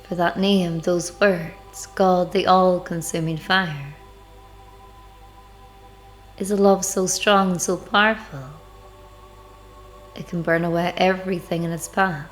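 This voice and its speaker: A woman leading a devotional guided meditation, speaking in measured, deliberate phrases with pauses. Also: on the low side, fairly even intonation. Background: background music.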